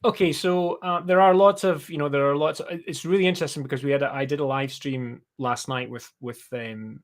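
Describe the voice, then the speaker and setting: Scottish guy in a relaxed interview chat, explaining stuff, bit rambly. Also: a little high, a little fast. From Scotland. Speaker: guest, brand strategist and designer. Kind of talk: casual live interview chat.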